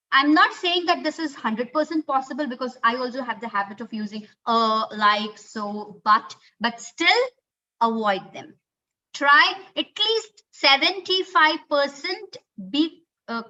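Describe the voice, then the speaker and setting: A woman's voice: high voice, bright, forceful tone. A woman whose first language is Kannada, speaking with an Indian English accent, giving an earnest online lecture on communication skills with many fillers like "uh" and "okay". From Karnataka, India. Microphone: computer mic on a video call. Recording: dull lo-fi webinar audio.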